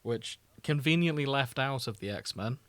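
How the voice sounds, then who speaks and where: A man's voice: medium pitch, lively intonation, moderate pace, fairly bright tone, no echo. A man co-hosting a podcast, chatting casually in a jokey, wry way. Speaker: podcast co-host. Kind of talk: casual chat.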